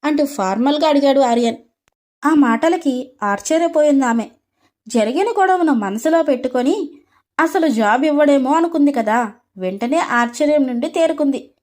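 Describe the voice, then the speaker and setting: A woman's voice: high-pitched, expressive, moderate pace, darkish tone. A woman storyteller reading scripted narration for a story.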